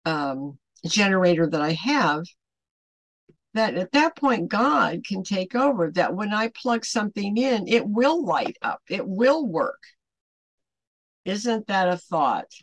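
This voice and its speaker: Older woman, measured devotional talk. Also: medium pitch, very animated, no echo. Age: older, around 70. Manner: rambling, emphatic, rhetorical questions. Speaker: online ministry host. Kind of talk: unscripted devotional talk to camera. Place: small furnished home room, indoors.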